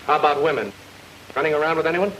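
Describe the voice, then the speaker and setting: A man's voice: a little high, quick pace, a bit bright, slight background noise, lightly acted, rough recording. Man as dry, wisecracking radio noir detective. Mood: businesslike. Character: cool wisecracking male private detective. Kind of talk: old-time radio noir acting.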